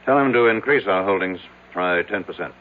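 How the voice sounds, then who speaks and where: Deep-voiced domineering tycoon acted by a man. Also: fairly quick, natural voice. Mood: brisk, commanding. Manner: curt, commanding, formal. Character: domineering, skeptical, hard-driving male business tycoon. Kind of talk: 1970s radio drama acting. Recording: tinny band-limited old radio, faint hiss.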